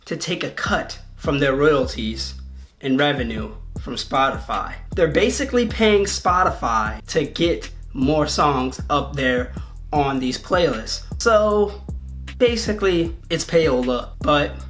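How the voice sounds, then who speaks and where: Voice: medium pitch, lively intonation, slow pace, fairly bright tone, soft background music. A video host, delivering conversational video essay commentary.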